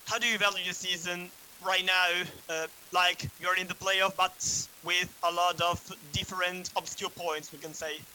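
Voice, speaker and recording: High bright Italian-accented man. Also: level tone, normal speed, few pauses, a little hiss or hum, rough recording. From Italy. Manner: apologetic, hesitant non-native English. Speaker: caller asking a question. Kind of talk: press conference question.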